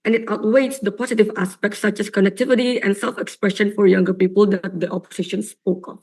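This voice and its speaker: A young woman debater with a raspy voice from coughing, delivering an earnest, emphatic competitive debate speech with frequent repetitions. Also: mid-range voice, fairly even intonation, fast talker, a little mellow. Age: young adult. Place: online or stage debate venue.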